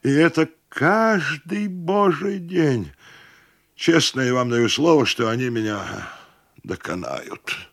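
Man acting an exasperated, high-pitched, blustering householder. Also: no room sound, clean studio sound. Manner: ranting, melodramatic, drawn-out exclamations.

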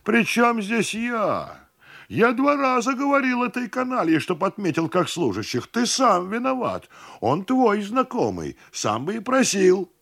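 A man's voice: sits high, very animated, unhurried, vocal fry, a bit bright, no room sound, studio quality, voice pushed up, exaggerated voice. A man voicing a hot-tempered, defensive male cousin in a story, giving angry retorts. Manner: angry, blame-shifting retorts.

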